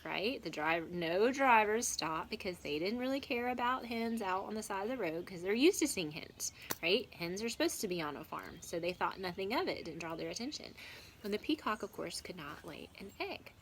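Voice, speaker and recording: Lady teacher doing storytime, medium pitch, asks questions to check they get it, little bit of noise. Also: animated, normal speed, fairly bright tone. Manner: instructive, question-and-answer, checking understanding. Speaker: teacher reading aloud. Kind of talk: children's read-aloud and discussion.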